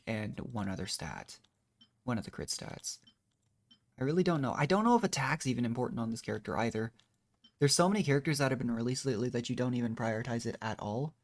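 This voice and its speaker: Man, medium-pitched voice, casual game streamer, rambling commentary, thinking aloud.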